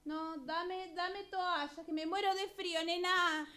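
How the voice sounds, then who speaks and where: A woman's voice: very high-pitched, fairly even intonation, takes it slowly, pushed and bright, heavily acted. A woman playing an exasperated, impatient bathroom complainer in a radio drama, whiny, with an Argentine accent. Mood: complaining. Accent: Rioplatense Argentine. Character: exasperated woman stuck freezing in the bathroom without towel.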